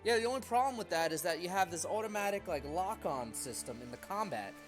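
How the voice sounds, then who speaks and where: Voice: mid-range voice, animated, quick pace. A gaming streamer giving casual gameplay commentary in a sweary, complaining manner. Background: loud game music.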